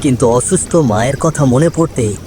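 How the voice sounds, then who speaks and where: A man narrating a scripted ghost story in a suspenseful, expressive way. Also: medium pitch, a little fast, over music. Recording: clear rain and river water noise.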